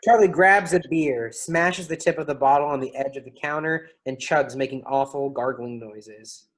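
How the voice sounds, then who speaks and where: A man's voice: fairly high pitch, moderate pace, lightly acted. A man reading out stage directions as scripted narration for a play reading.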